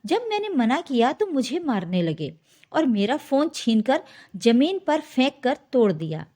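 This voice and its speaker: A woman's voice: sits high, very animated, measured pace, dark tone, heavily acted. A woman voicing a distressed young married daughter pleading with her parents in a story. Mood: aggrieved pleading. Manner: aggrieved, pleading.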